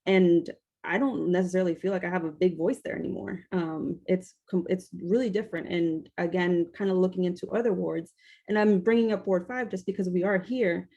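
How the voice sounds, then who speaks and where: A woman's voice: lowish voice, fairly even intonation, brisk, dark tone, muffled recording. A woman from Washington, D.C. speaking as a panelist, hesitant, with many fillers and restarts. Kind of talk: panel discussion, advocating.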